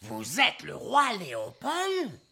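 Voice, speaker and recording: A woman's voice: medium pitch, wildly swooping pitch, slow, vocal fry, very noisy, exaggerated voice. A woman voicing a grand, easily scandalised fairy lady in a children's cartoon, theatrical and incredulous. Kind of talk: animated children's cartoon dub. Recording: music behind the voice.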